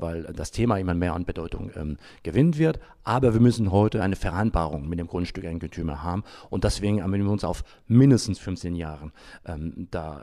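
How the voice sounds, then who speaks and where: A man's voice: sits low, creaky, mellow. A man giving unscripted interview answers in speech, often hesitating as he searches for words. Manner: halting, searching for words with frequent long hesitations. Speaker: interviewee, founder of an environmental association.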